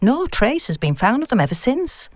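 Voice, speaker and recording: A woman's voice: fairly high pitch, big pitch swings, moderate pace, no echo, pitched above the performer's own voice. A woman voicing a knowing, unflustered female academic who tells spooky tales in an audiobook story. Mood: eerie storytelling. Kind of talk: audiobook dialogue.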